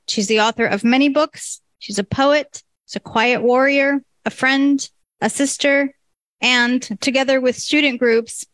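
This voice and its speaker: A woman hosting a festival, giving a deliberate, declarative opening address. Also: on the high side, a bit bright, no echo. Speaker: festival organizer and host.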